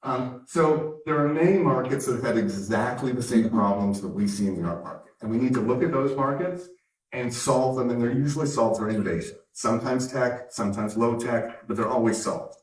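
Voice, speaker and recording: A man's voice: mid-range voice, brisk. A man from Canada with an American accent, giving an emphatic conference keynote speech with wry asides. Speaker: conference speaker, company founder. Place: conference hall. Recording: roomy hall from a distance.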